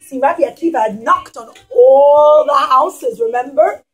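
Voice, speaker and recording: A woman with a high voice tells a story to young children. She teaches dramatically, leaning on emphatic pauses and putting questions to her listeners.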